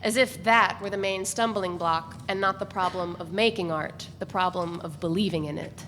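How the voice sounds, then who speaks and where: A woman's voice: lowish voice, moderate pace, a bit bright. Woman reading a novel aloud. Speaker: reader. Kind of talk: first-person literary narration read aloud. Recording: echoey room with faint noise.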